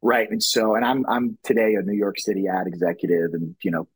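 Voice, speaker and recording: Husky low-voiced man, rambling speech. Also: fairly quick, no echo, low-quality recording. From Louisiana. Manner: rambling, many restarts and "you know" fillers. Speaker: chief brand strategist, podcast guest. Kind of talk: conversational podcast interview.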